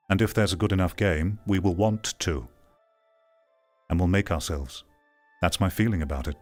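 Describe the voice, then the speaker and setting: A man with a low-pitched voice delivers an opinionated monologue to his online audience. He is blunt and emphatic, setting out his phrases deliberately and repeating them for stress. Faint music plays behind him. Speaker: host, online commentator and streamer.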